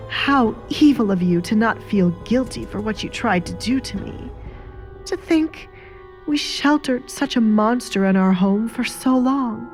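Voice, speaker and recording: A cruel, sly baroness played by a woman narrator in a dramatic audio story. She is haughty and menacing, turns mock-wounded to accuse, and speaks over background music. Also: a little high. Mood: mock-wounded accusing. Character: sly, cruel, scheming baroness, a married mother.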